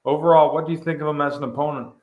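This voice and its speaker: A man's voice: on the high side, steady tone, racing, reverberant, muffled recording. A man interviewing a fighter, asking questions in an upbeat, praising way.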